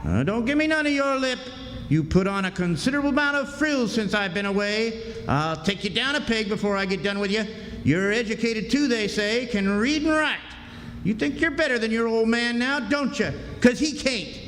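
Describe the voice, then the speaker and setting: A man's voice: extremely high pitch, expressive, normal speed, fairly bright tone. An actor playing a sneering, greedy old backwoods father who scolds in a folksy rustic drawl, in live radio drama style. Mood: angry scolding. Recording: echoey hall, live stage, hissy background.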